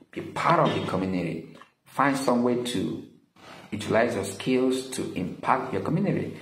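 A man in his thirties giving earnest, deliberate, motivational advice to camera as a study-abroad vlogger. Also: on the low side. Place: small indoor room with window blinds. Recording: echoey room with some background noise.

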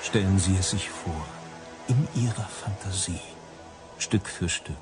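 An actor playing a suggestive story narrator in a German radio crime drama, measured and hypnotic in his delivery. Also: deep voice. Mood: measured, suspenseful. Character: deep-voiced, suggestive male story narrator. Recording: loud music behind the voice.

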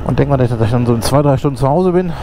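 A man's voice: medium pitch, animated, fast. A man rambling casually to the camera while riding a motorcycle, with plenty of fillers. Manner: chatty, rambling, many fillers. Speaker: motorcycle vlogger. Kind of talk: casual ride commentary. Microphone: helmet intercom mic. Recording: loud wind and engine noise on helmet mic. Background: road and vehicle noise.

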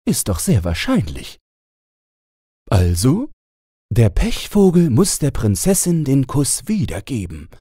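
A man voicing a fawning, pedantic royal privy councillor in a fairy-tale audiobook, formal and deliberate. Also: mid-range voice, dark tone, slightly rough, dry recording, clean studio sound, pitched above the performer's own voice, exaggerated voice.

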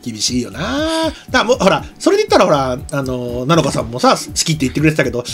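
A man's voice: medium pitch, big pitch swings, quick pace, faint music behind. A man in his forties from regional Japan, chatting casually and enthusiastically as an anime talk show co-host, with a discursive manner. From regional Japan, outside Tokyo. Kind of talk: casual chat about anime. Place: small streaming studio, indoors. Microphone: desk microphone on boom arm.

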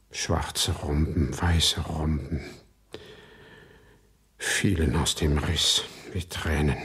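An actor playing a brooding banished son in a radio play, narrating slowly and solemnly in a husky voice. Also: male voice, heavily acted. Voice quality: very deep, husky. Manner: slow, solemn, measured. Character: brooding banished son, slowly recounting his painter mother's pictures.

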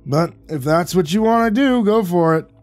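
A man voicing a gruff, bitter old male war veteran neighbor who talks bluntly and crudely, in a storybook reading. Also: sits high, lively intonation, moderate pace, a little mellow, soft background music, pitched above the performer's own voice. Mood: gruff, bossy.

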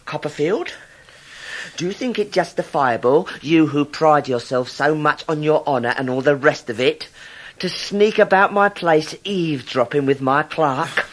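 An actor playing a scheming, hot-tempered villainous clerk in a radio drama, snappish and full of contempt. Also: male voice, on the high side, measured pace. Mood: sneering, threatening. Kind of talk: 1990s radio drama acting.

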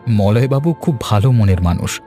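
A man's voice: lowish voice, lively intonation, normal speed, mellow, close to an everyday voice. A man voicing a helpful, kindly young village fisherman in a cartoon story. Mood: friendly. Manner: reassuring, friendly. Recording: music bed behind.

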